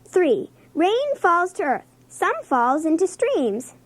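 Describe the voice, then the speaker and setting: A woman's voice: very high-pitched, sing-song, takes it slowly, exaggerated voice. A woman playing an earnest schoolchild who carefully recites science facts for a children's read-along.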